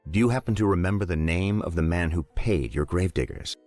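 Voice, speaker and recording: An actor playing a grave detective in a game cutscene, speaking in a formal, measured and earnest manner. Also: male voice, deep voice, lively intonation, darkish tone, soft background music. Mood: grave, inquiring. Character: grave, formal male detective investigating deaths. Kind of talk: dramatic video game cutscene acting.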